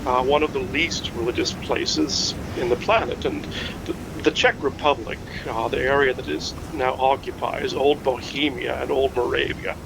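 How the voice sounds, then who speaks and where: An actor playing a knowledgeable history buff in an audio drama, explaining in measured, careful phrases. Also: male voice, medium pitch, fairly even intonation, creaky, background noise, close to an everyday voice. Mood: measured, informative. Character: knowledgeable, measured amateur historian named Steve. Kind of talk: naturalistic fiction podcast acting, explanatory.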